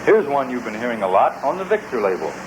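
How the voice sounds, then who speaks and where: A man's voice: medium pitch, sing-song, fast. A man announcing in old radio presenter style on a noisy vintage recording.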